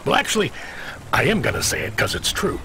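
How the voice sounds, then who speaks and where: A man playing a gruff, fatherly, streetwise dispatcher in a video game, heard over a noisy radio call. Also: medium pitch, wildly swooping pitch, fairly quick, pushed and bright, exaggerated voice. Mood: wry amusement. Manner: colloquial, streetwise, laid-back. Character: gruff, fatherly middle-aged male delivery dispatcher.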